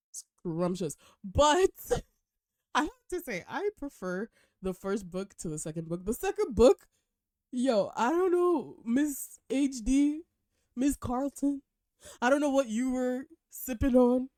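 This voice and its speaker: A young woman hosting a casual book review vlog, chatty and animated with slang, sounding a little nasal from a cold. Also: a little high, no echo, clean studio sound. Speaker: host.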